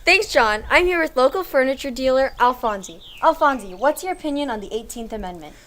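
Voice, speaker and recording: A woman playing a polite 1920s street reporter, asking brisk scripted questions of locals. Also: sits high, expressive, fairly bright tone. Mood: polite, inquiring. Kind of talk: scripted news interview.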